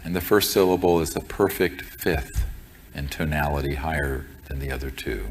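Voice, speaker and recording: A man guiding a group meditation as a teacher, speaking in a solemn, measured and deliberate way. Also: a bass voice, slight background noise. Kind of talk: guided meditation instruction to a group. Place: indoor room with a group present.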